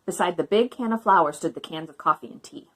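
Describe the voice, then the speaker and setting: A woman reading a children's story aloud in an expressive, bouncy way. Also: on the low side, fairly quick. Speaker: guest reader. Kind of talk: read-aloud storybook narration.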